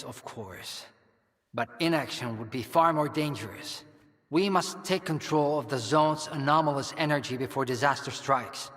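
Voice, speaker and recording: A man acting as an earnest scientist in a video game, calmly and persuasively justifying his risky work in measured explanations. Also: on the high side, natural voice. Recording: long hall-like reverb.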